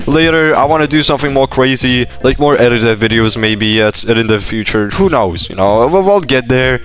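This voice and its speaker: A young man from Lithuania, a native Lithuanian speaker with British influence in his accent, giving casual gaming commentary in an animated, rambling way. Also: mid-range voice, moderate pace. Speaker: gaming YouTuber. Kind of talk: casual Q&A commentary over gameplay. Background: background music.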